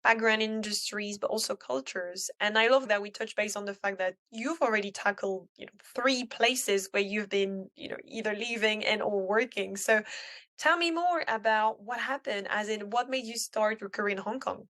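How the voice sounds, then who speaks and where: A woman's voice: mid-range voice, moderate pace, a bit bright. A woman hosting a career podcast, upbeat and welcoming as she interviews a guest. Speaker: podcast host. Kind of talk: casual interview chat.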